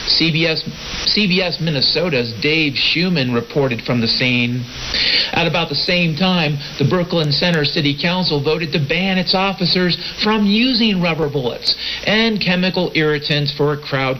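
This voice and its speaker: Male American political talk host, slightly high voice, sardonic delivery, heard over clear shortwave hiss. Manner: opinionated, sardonic. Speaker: podcast and shortwave show host. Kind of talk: political monologue, reading and commenting on news. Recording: hissy, noisy shortwave radio reception. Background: loud static hiss.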